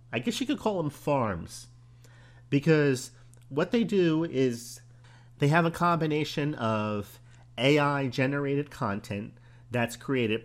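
A man with a hoarse voice hosting a solo podcast, talking in a conversational, musing way. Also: mid-range voice. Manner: conversational, musing aloud with pauses and small laughs. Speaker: podcast host.

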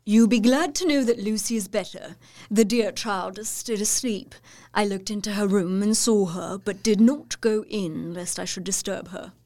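A woman's voice: medium pitch, moderate pace, a little mellow, no room sound, lightly acted. An actress playing a genteel, fussy, self-satisfied middle-aged Victorian mother in an audio drama. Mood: pleased, fussing.

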